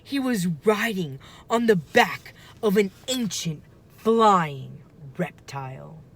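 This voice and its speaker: Woman reading a kids book out loud, takes it slow, lots of expression and drama. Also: medium pitch, lively intonation. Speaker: storybook reader. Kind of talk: expressive children's book read-aloud.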